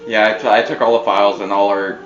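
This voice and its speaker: A man with a fairly deep voice chats casually as a podcast co-host. The recording is roomy and somewhat dull, with a faint hum behind him. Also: little pitch movement, fast, fairly bright tone. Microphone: podcast microphone on a tripod. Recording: muffled roomy podcast mic, background hum.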